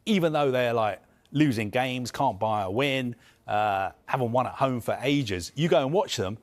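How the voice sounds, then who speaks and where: A man's voice: medium pitch, lively intonation, normal speed. A man giving live news analysis as a football reporter, earnest and emphatic, building his points through lists.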